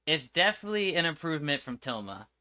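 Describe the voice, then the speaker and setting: A man's voice: on the high side, very animated, fast, bright, forceful tone. A man giving casual, slangy reaction commentary as a game streamer, with an exasperated manner.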